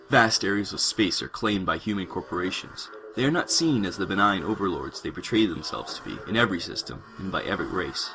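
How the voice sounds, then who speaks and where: A man reading scripted narration as a podcast host and storyteller. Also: sits low.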